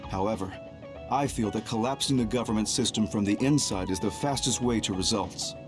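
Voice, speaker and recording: An actor playing a stern, calm military commander and scheming reformer in an English game dub, speaking formally and deliberately. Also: male voice, on the low side, close to an everyday voice. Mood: grave, resolved. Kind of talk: English-dubbed video game cutscene acting. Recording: music behind the voice.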